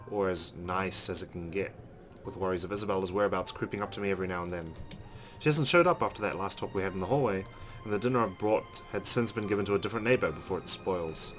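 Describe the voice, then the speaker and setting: A man hosting a let's play, reading a visual novel's narration aloud in an expressive storytelling way. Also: low-pitched. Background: background music with guitar.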